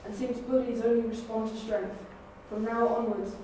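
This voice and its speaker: Resolute female sci-fi leader played by a woman, firm oratory, mellow tone, very reverberant room. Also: a little high, little pitch movement, normal speed, natural voice. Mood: resolute, warning. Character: resolute female political leader of an alien people. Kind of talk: dramatic audition reading. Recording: very echoey room, distant mic, faint noise.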